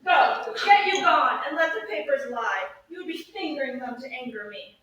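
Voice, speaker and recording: A woman playing an impulsive, haughty, lovesick young gentlewoman in a theatrical Shakespearean staged reading, exclaiming and swinging between scolding and doting. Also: sits high, expressive, normal speed. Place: echoey room at a live staged reading. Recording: echoey room, distant mic, faint noise.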